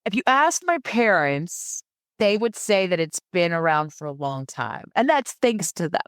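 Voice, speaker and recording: A woman's voice: on the low side, very animated, moderate pace, dry recording, clean studio sound. A woman who grew up in San Francisco and Germany, a podcast guest talking casually and enthusiastically, often repeating words as she starts a phrase. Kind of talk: casual interview talk.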